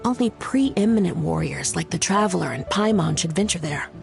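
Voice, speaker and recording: Low, creaky, dutiful aide. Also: female voice, expressive, normal speed, over loud music. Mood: firm, respectful. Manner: formal, earnest, deferential. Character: dutiful, serious female military aide to a priestess. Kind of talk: scripted fantasy game acting.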